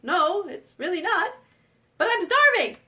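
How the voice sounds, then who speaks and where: A woman voicing a mean, hungry bridge troll in a storybook tale, loud, drawn-out and demanding. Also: very high-pitched, big pitch swings, full character voice. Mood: hungry, aggrieved.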